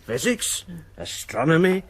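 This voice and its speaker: A man's voice: a little high, very animated, measured pace, slight background noise. A man playing a wealthy, opinionated lord in a radio drama, starting hesitantly and then turning blunt and emphatic. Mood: blunt, dismissive.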